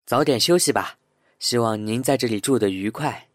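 A man's voice: mid-range voice, normal speed, lightly acted. A man playing a courteous, hesitant and easily puzzled building manager who also narrates a sci-fi radio drama. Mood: polite. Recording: roomy sound with music underneath.

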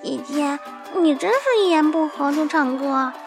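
Woman playing a high-pitched cheeky little sister. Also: fairly even intonation, normal speed, music in the background. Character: cheeky, indignant eight-year-old little sister. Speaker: radio co-host. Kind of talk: scripted radio skit.

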